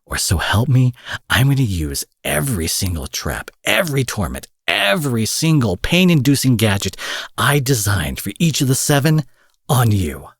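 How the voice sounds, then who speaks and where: A man's voice: mid-range voice, very animated, normal speed, breathy edge, dry recording, clean studio sound. A man playing an angry, menacing supervillain in an audio drama, profane, sarcastic and theatrical, taunting with mock affection. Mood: furious, threatening. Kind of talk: roleplay audio drama monologue.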